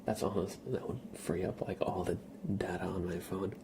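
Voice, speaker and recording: A man streaming ASMR, chatting casually to viewers in a soft, breathy whisper with a slightly stuffy nose. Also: deep voice, flat delivery, moderate pace, background noise. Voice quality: breathy whisper with a creaky edge. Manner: soft-spoken, rambling, casual.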